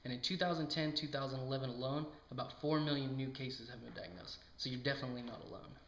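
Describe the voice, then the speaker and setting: A man's voice: mid-range voice, fast, fairly bright tone, natural voice. A man playing a reassuring endocrinologist who explains things in a scripted educational interview. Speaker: doctor being interviewed. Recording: echoey room.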